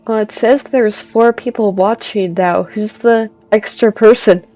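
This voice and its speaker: A woman's voice: medium pitch, a little slow, warm tone. A woman streamer giving casual, musing commentary as she plays through a video game. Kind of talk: casual live playthrough commentary. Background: game music.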